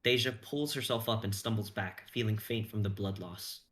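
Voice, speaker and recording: Young guy w a lowish voice reading out a story, intense and suspenseful. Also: moderate pace, fairly bright tone. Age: young adult. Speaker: stream host and narrator. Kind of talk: dramatic reading of narration.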